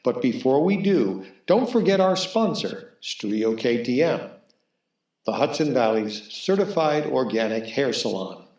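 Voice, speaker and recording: A man serves as the announcer of a radio theatre show. His voice is medium in pitch and his delivery is scripted and theatrical. The recording has a clear room echo around him. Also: big pitch swings, unhurried, darkish tone. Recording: roomy echo on the voice.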